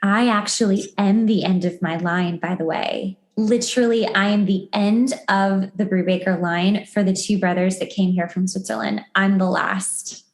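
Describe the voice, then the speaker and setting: A woman's voice: medium pitch, fairly even intonation, moderate pace, few pauses. A woman hosting a podcast, talking in an informal, chatty way about personal things in casual conversation. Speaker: podcast host. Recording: roomy, echoey sound.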